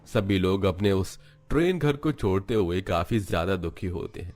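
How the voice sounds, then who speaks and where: Low-pitched male story narrator. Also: moderate pace, a little mellow, slight background noise. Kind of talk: scripted storytelling narration for animated family drama.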